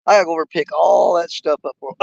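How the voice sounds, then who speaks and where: Fishing host, man, hoarse and gravelly, folksy rambling talk to camera outdoors, muffled dry mic. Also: fairly high pitch. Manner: rambling, folksy, talks straight to viewers. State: hoarse, losing his voice. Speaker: fishing live-stream host. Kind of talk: casual live-stream chat. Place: outdoors at a small lake.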